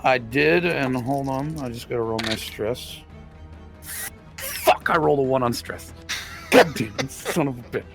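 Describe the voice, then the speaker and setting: A man's voice: mid-range voice, measured pace, vocal fry, long pauses. A man chatting casually as a player in a tabletop roleplaying game. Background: background music.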